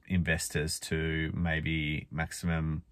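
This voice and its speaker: A man, croaky from a cold, chatting casually and rambling with lots of fillers as he vlogs from inside a car. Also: very deep voice, completely flat delivery, slow pace, fairly bright tone, no room sound. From Australia. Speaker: finance vlogger. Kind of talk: casual talking-head vlog. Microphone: phone or camera at arm's length.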